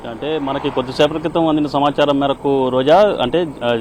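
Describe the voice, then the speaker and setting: A man giving an unscripted live news report as a correspondent, halting and repeating himself with drawn-out hesitations. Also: fairly high pitch, darkish tone, slight background noise.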